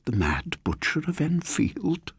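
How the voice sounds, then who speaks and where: A man voicing a hesitant, fussy old lady in a breathy, flustered way for an audiobook story. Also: on the high side, very animated, fairly bright tone, no echo, voice pushed up, full character voice. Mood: amused disbelief. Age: older. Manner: flustered, halting.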